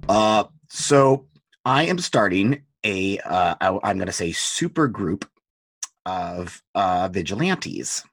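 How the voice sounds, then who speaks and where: A man playing an awkward vigilante team recruiter in a comedy sketch, speaking in a husky, halting way. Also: sits low, big pitch swings, a bit bright, no echo, faint music behind, full character voice. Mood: awkward, earnest. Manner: hesitant, halting.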